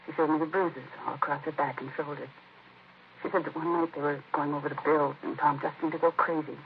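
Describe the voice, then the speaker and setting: An American actress playing a distraught, disapproving, defensive grieving sister in a 1950s radio crime drama, speaking in a hesitant, confiding way. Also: female voice, low voice, steady tone, fast talker. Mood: agitated, recounting. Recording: muffled, noisy vintage radio recording.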